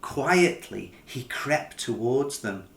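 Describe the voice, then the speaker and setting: A man narrating a children's picture book in an expressive storytelling style, as the author reading aloud. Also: medium pitch, slow pace, vocal fry, reverberant.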